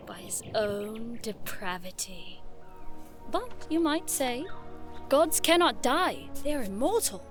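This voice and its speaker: A high-pitched young noblewoman, played by a woman in a fantasy audio drama. She is haughty, bookish and playful, teasing with theatrical, eloquent flair. Music runs behind her voice.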